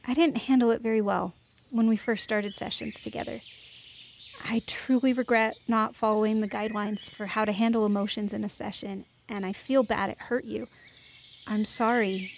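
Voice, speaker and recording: A woman's voice: mid-range voice, fairly even intonation, normal speed, creaky, slight background noise, lightly acted. A woman playing an earnest, fierce but contrite young woman in a naturalistic podcast drama, speaking sincerely and carefully. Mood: remorseful. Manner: sincere, careful, emotionally open. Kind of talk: naturalistic fiction podcast acting.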